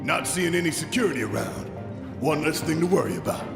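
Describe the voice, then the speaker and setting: Gravelly rebel fighter, very creaky. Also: male voice, on the high side, lively intonation, a little fast, over music. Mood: wary. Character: gruff, impatient but big-hearted rebel fighter. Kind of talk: video game voice acting.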